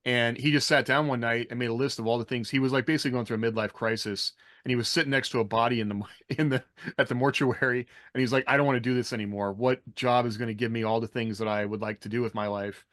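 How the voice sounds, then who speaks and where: A man's voice: mid-range voice, fairly even intonation, quick pace, few pauses, a bit bright, no room sound, studio quality. An American man co-hosting a podcast in a casual, rambling, anecdotal way, peppering his talk with "like". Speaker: podcast co-host. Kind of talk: casual chat about military life and fiction.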